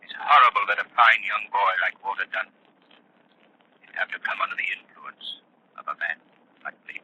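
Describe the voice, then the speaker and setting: An actor playing a resolute, mysterious crime fighter in a 1940s radio drama, with deliberate, ominous delivery. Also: male voice, fairly low pitch, expressive, muffled recording, lightly acted. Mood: solemn. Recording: old radio recording with faint noise.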